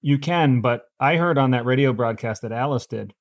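A man in relaxed, informal conversation, swapping questions and anecdotes. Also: medium pitch, normal speed, no echo, studio quality. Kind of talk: casual chat.

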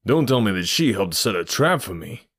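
Man playing a deep-voiced, defiant criminal. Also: sing-song, normal speed, slightly rough, heavily acted. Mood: bitter. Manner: defiant, forceful, bitter. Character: fierce, proud, lovelorn American male criminal.

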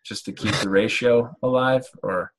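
Husky man, dry teasing host. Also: fairly low pitch, steady tone, normal speed. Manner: dry teasing asides, short agreeing replies. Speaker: podcast host and stand-up comedian. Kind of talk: casual interview chat.

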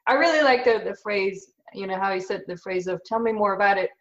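A woman's voice: mid-range voice, fast talker. German-speaking woman host, lo-fi sound. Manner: thoughtful, hesitant with fillers. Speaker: podcast host. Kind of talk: casual interview chat. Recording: dull lo-fi audio.

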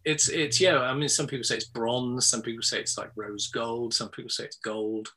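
A man's voice: medium pitch, fairly even intonation, normal speed, sharp tone. A man in his sixties from England, a photographer chatting as a guest in an interview, hesitant with many ums and restarts, over a video call. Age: 60s. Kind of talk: casual interview chat.

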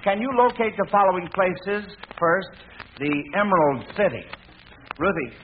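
Chatty hesitant american guy quizmaster, old radio, very thin sound w clear hiss and noise. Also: high voice, animated, normal speed. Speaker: quiz show host. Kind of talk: 1940s radio quiz hosting. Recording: noisy, tinny old radio broadcast.

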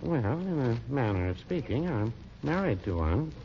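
An actor playing a mild, prim, deadpan older small-town druggist in a 1940s radio comedy. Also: male voice, mid-range voice, normal speed. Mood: dry, deadpan. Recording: dull, noisy old radio recording.

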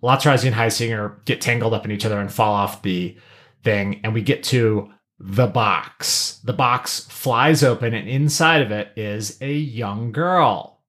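A man's voice: lowish voice, measured pace, a bit bright. A man co-hosting a podcast, retelling a story in casual, slangy language and acting out bits of paraphrased dialogue. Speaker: podcast co-host. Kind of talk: casual episode recap with acted-out paraphrased dialogue.

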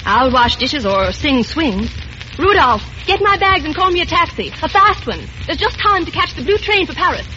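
An actress playing a headstrong young runaway princess in an old-time radio romantic comedy, with theatrical delivery. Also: female voice, sits high, very animated, moderate pace. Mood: indignant, defiant. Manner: indignant, theatrical. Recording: dull old radio recording with music.

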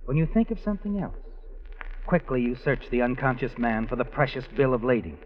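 An actor playing a knowing, ominous narrator who tells an old radio mystery to its heroine. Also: male voice, mid-range voice, fairly quick, natural voice. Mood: knowing, suspenseful. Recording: dull vintage radio recording. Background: dramatic music.

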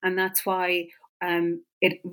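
An Irish woman historian answers an interviewer's questions about her book. Her voice sits a little low, and she speaks thoughtfully, with frequent fillers and restarts. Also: completely flat delivery, slow pace, fairly bright tone, smooth. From west of Ireland. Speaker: historian and author.

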